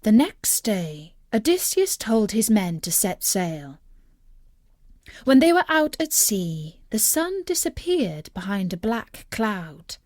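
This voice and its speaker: A woman narrating a scripted children's story in a measured, expressive storyteller's manner. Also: mid-range voice, no echo, clean studio sound.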